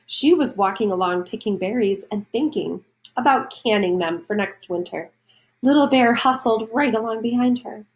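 A woman's voice: on the high side, normal speed, low-quality recording. A woman reading a children's storybook aloud, adding explanations and questions for young listeners.

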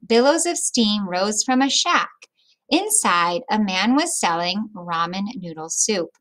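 A middle-aged woman reading a picture book aloud to children like a teacher, with expressive, deliberate phrasing. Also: mid-range voice, rough recording. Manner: deliberate phrasing with explanatory asides to young listeners.

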